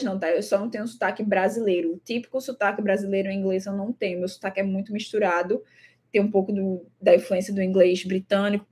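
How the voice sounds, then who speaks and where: A woman's voice: mid-range voice, a little mellow, husky. A young Brazilian woman with a Brazilian Portuguese accent talks casually to the camera about her travels, thoughtful and repeating words, with many fillers. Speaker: traveling vlogger.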